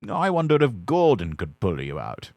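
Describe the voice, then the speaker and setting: A man voicing a stout, stern but kindly railway boss in a storybook reading, firm and measured. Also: on the low side, wildly swooping pitch, a little mellow, dry recording. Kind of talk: storybook character voice.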